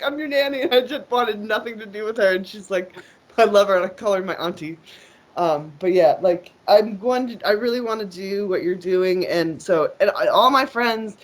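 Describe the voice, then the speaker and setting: A woman with a slightly high voice talks loosely and at length. She curses freely, circles back on herself and keeps starting her sentences over. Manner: rambling, profane, frequent fillers and restarts. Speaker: interview guest. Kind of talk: casual conversation.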